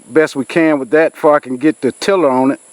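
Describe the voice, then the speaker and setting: A man's voice: a little high, steady tone, quick pace. A man, nasal from a cold, rambling in a folksy way as he talks to a camera on a tripod, out in a rural field. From Georgia, US. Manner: rambling, folksy, with many fillers. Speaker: outdoors channel host. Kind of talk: casual vlog talk to camera.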